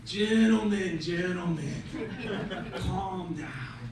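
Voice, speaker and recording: A wise, kindly rabbi, voiced by a male storyteller, speaks calmly and solemnly, pitched down from the teller's usual voice. It is distant in a very echoey room. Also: noisy background, exaggerated voice. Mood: soothing. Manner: slow, measured, solemn. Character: wise calm rabbi, kindly soothing man.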